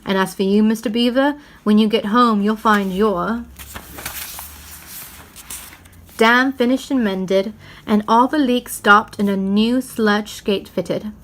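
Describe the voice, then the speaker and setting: A woman's voice: mid-range voice, measured pace, a bit bright, natural voice. A woman voicing a kindly, commanding old Father Christmas from a storybook, in a solemn, declamatory manner. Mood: hearty.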